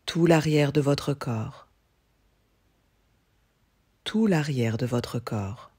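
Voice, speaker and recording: Slow, husky woman guiding meditation. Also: very deep voice. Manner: calm, measured, instructional with long pauses. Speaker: yoga teacher. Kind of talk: guided yoga nidra meditation.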